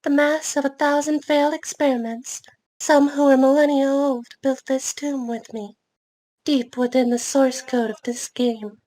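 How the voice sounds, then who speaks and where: A celestial goddess, acted by a woman with a very high voice. She is formal, grave and commanding, like an ancient being in an amateur fantasy drama read. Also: fairly even intonation, measured pace, dark tone, rough recording.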